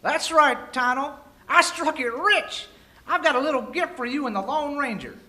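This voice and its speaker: A man playing an excitable, crusty old prospector with folksy Old West talk in an amateur radio play. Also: very high-pitched, normal speed, full character voice. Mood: indignant, proud.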